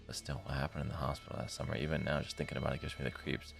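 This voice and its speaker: A man live streaming, reading a visual novel aloud in a deep, gravelly voice, with casual, slangy commentary. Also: steady tone, racing. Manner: slangy, informal asides. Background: music.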